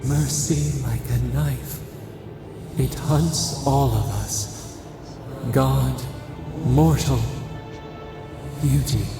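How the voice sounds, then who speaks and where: A man voicing an ancient, mournful otherworldly spirit in a video game, solemn, cryptic and creaky. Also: mid-range voice, steady tone, drawn-out words, pauses often, exaggerated voice. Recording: long echoing reverb, music behind.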